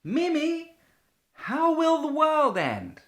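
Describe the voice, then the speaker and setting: A woman's voice: sits high, wildly swooping pitch, takes it slowly, full character voice. A woman playing an impatient, exasperated official in a radio play, with a challenging, businesslike manner.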